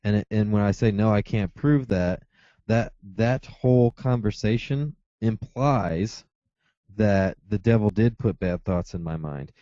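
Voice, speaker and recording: A man's voice: fairly low pitch, measured pace, dark tone, no room sound, muffled recording. A man arguing in a heated debate, insistent, with frequent stammering restarts. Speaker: atheist caller.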